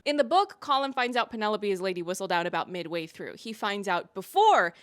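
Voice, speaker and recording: A woman's voice: mid-range voice, big pitch swings, brisk. A woman giving candid, emphatic, opinionated scripted commentary to viewers as a reviewer. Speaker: video essayist and TV reviewer.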